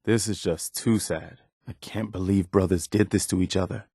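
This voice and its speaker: Casting: steadfast American wasteland militia officer for a game companion role, lowish voice, plainspoken, very dry close sound. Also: male voice, steady tone, normal speed, warm tone, breathy edge, dry recording. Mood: somber, sympathetic. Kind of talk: video game companion voice lines.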